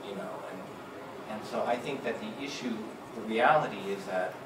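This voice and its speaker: A middle-aged man with a lowish voice answers on a panel, measured and reflective, circling back on his words. He speaks into a handheld mic, caught from a distance in a large, echoing hall. A loud wash of room noise sits under him. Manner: measured, reflective, many restarts. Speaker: panelist, public health professor. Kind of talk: panel discussion. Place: large lecture hall with audience.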